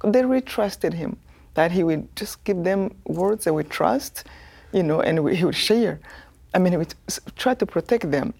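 A woman from Rwanda in her fifties with a Rwandan accent, telling her life story as a guest in an earnest, soft-spoken way. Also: on the low side, lively intonation, normal speed, creaky, slightly rough. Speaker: guest, author.

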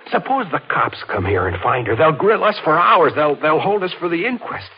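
An actor playing a brisk, rattled young newlywed husband in 1940s radio drama style, urgent and clipped. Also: male voice, fairly high pitch, very animated, husky, heavily acted. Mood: agitated, urgent. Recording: dull, noisy 1940s radio recording.

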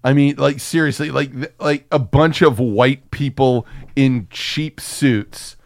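A man's voice: medium pitch, fairly even intonation, slow, no room sound, clean studio sound. A man co-hosting a podcast in casual, joking chat, with a profane, hyperbolic way of talking. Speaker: podcast co-host.

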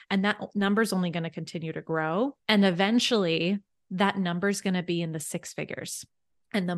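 A woman's voice: fairly low pitch, dry recording, studio quality. A woman financial coach, a podcast guest, who explains things eagerly and step by step with worked examples. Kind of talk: casual podcast interview.

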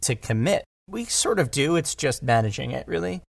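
Man thinking aloud, creaky voice. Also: a little high, very animated, normal speed, dry recording, studio quality. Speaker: audio drama director, interview guest. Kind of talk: casual podcast discussion.

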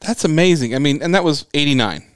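A male podcast host chatting casually, reacting quickly and laughing along with his guest. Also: on the high side, animated, no room sound, studio quality. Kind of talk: casual interview chat.

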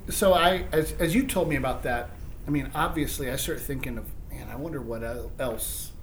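A man in his forties with a Midwestern American accent, a high school teacher co-hosting a casual chat and thinking his ideas through aloud with many restarts. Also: normal speed, fairly bright tone, slight background noise, rough recording. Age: 40s. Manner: thoughtful, musing, many restarts.

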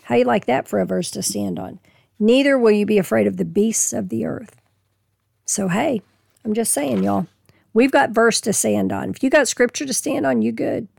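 Woman, Bible teaching, gravelly fry, dry close sound, hoarse, folksy. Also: mid-range voice, darkish tone, no echo. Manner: folksy, reads scripture slowly then explains plainly. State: losing her voice. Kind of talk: casual Bible teaching with scripture reading.

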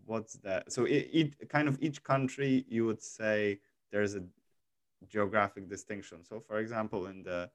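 Low-voiced man asking curious questions. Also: steady tone, moderate pace, dry recording. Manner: curious, asks questions with stammered restarts. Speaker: host. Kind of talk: casual interview chat.

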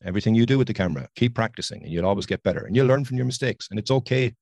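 Fast-talking Irish man, dry sound. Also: lowish voice, lively intonation, creaky, darkish tone, no echo. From Ireland. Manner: talkative, enthusiastic. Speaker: podcast guest, photographer. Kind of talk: conversational interview. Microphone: video call.

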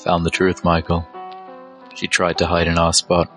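Guy doing a deep tired grieving dwarf, gentle and measured, audio drama with faint music. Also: monotone. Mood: weary, tender. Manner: weary, measured, tender. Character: resigned, grieving male dwarf, gentle and wounded.

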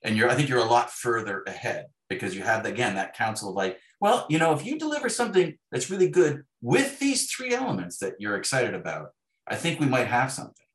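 A man's voice: mid-range voice, very animated, brisk, fairly bright tone. A man speaking as a guest author in a conversational interview, acting out imagined dialogue as he tells his stories. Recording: roomy, echoey sound.

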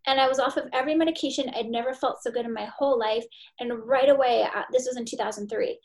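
A woman's voice: sits high, steady tone, fast talker, darkish tone. A woman in her forties, a therapist and nutritionist, talking energetically and emphatically as a podcast guest. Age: 40s. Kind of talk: podcast interview.

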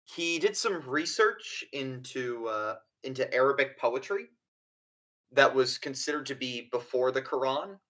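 A man's voice: medium pitch, animated, a little slow, fairly bright tone. Man running a tabletop game. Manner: explanatory, stumbling with fillers. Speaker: game master. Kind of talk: narrating a tabletop horror game.

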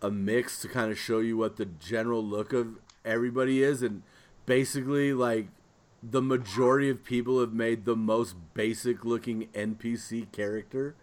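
A man with a mid-pitched voice co-hosts a podcast. He chats casually and loosely, rambling as he works his way through a thought. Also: steady tone, moderate pace. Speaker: podcast co-host. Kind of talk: casual chat.

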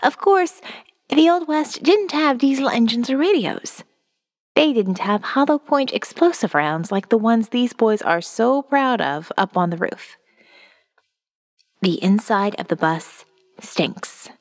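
A woman performs a weary female survivor narrating her diary for an audio novel. Her voice sits fairly high, wry and watchful. A long reverb trails her words, as in a hall. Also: expressive, takes it slowly. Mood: grim, observant.

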